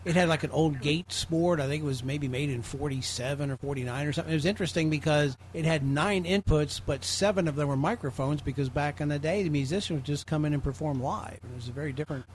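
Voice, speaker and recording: Higher-voiced man reminiscing, faint noise. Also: fast talker, few pauses. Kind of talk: casual reminiscing.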